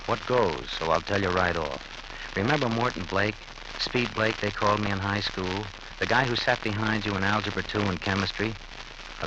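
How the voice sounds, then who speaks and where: Male actor as weary, regretful young American husband, low voice, vintage radio thriller, very noisy old recording. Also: lightly acted. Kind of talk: 1940s radio drama acting.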